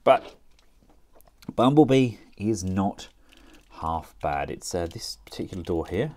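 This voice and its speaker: A man talking casually through a toy review, chatty and relaxed with frequent fillers as he works the figure with his hands. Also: deep voice, takes it slowly. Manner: relaxed, chatty, thinking aloud with many fillers.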